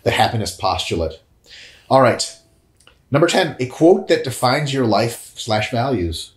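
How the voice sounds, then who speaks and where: A man in his thirties on a couch, answering viewer questions in a casual, jokey, profane way, with big swings in pitch. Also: sing-song, a little slow. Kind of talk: casual chat. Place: indoor room, on a couch. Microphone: lapel mic. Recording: echoey room.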